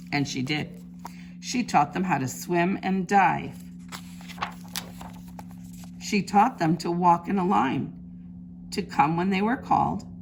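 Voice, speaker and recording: A woman reading a children's storybook aloud in an expressive, emphatic preschool-teacher style. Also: on the low side, vocal fry, noisy background.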